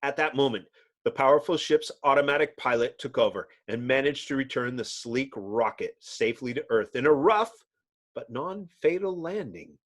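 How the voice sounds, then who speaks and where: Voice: medium pitch, animated, moderate pace. A narrator giving a dramatic, theatrical scripted reading of a comic-book adventure. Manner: theatrical, storybook-like.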